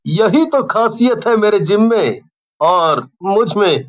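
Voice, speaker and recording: Woman voicing a slow-talking, confident young male trainer. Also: mid-range voice, expressive, dull audio. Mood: confident, flattering. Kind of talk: Hindi horror cartoon character voice.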